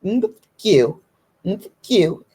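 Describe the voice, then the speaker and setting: A woman with a hoarse, deep voice, giving a blunt, emphatic opinion monologue to her online audience and repeating her key points. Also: very animated, takes it slowly, mellow. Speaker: YouTube commentator.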